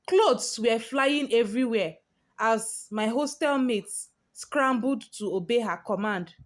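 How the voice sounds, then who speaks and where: A woman's voice: fairly high pitch, slow. A woman storyteller giving a dramatic, scripted first-person narration.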